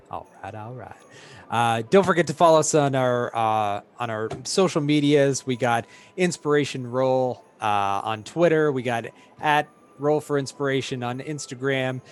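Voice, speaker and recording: A hoarse man narrating as game master of a tabletop game, halting with many fillers and restarts. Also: medium pitch, a little hiss or hum.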